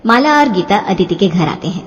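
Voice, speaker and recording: A woman's voice: mid-range voice, animated, a little fast, muffled recording. A woman narrator reading a scripted story for children. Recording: long hall-like reverb.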